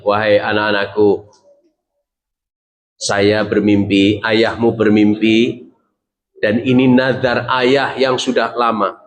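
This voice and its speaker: A middle-aged man, preaching a sermon as a story, acting out the voices he quotes and putting questions to the audience. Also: mid-range voice.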